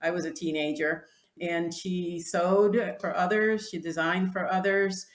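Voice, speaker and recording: A woman's voice: fairly low pitch, steady tone, unhurried. A woman in her sixties from the Dominican Republic, a Spanish native speaker, answering interview questions in a reflective way with frequent restarts. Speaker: guest, clothing designer. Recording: echoey room.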